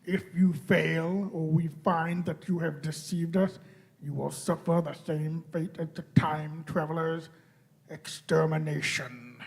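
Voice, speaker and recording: A cold alien war-machine commander voiced by a man in a radio play. The voice is high and commanding, delivering clipped orders with menace. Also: steady tone, unhurried, vocal fry. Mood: threatening. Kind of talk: radio play performed by amateur players.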